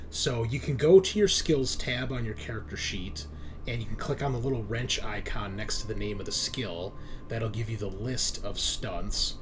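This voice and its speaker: A man's voice: medium pitch, a little hiss or hum. A man acting as game master, narrating a tabletop roleplaying game in a casual, descriptive way and explaining rules.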